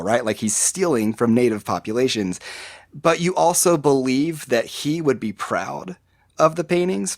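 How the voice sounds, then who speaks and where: A man's voice: medium pitch, no echo, clean studio sound. A man, a podcast guest, describing a theme park ride in a rambling casual way with many fillers and restarts.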